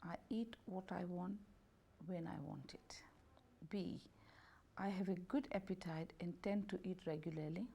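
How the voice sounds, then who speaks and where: A woman therapist reading out a self-assessment questionnaire, going through each option as A, B and C at an unhurried pace, with slightly non-native grammar. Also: fairly low pitch, gravelly, mellow, slightly rough. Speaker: holistic health and beauty therapist.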